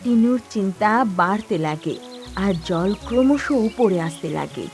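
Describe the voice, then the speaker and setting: A female narrator tells a scripted children's fable. Her mid-range voice moves with lively intonation. Gentle music runs underneath. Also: medium pitch, a little slow.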